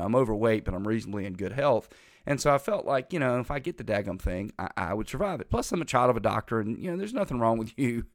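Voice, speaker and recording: A middle-aged man hosts a talk show, his voice a bit congested from a cold. He rambles in a chatty, folksy way and keeps calling his listeners "folks." The sound is dry and close, with no room echo. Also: mid-range voice. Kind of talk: casual political talk monologue.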